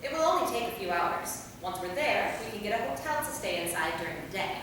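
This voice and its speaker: A woman's voice: medium pitch, very animated, fast, lightly acted. A woman playing a determined, practical female vampire in a staged play reading, with a firm, matter-of-fact delivery. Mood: decisive. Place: theater hall, recorded from a distance. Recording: very echoey hall, far mic, noisy.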